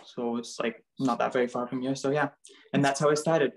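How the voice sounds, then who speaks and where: A man's voice: medium pitch, fairly even intonation, brisk, creaky, slight background noise, low-quality recording. A young man raised in Canada chats easily as an interview guest. His talk is loose and rambling, scattered with "like" and "um". His voice sits in the middle of the male range. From Bulgaria, raised in Canada. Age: young adult. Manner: chatty, many fillers like "like" and "um". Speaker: interview guest, model and dancer. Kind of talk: casual chat.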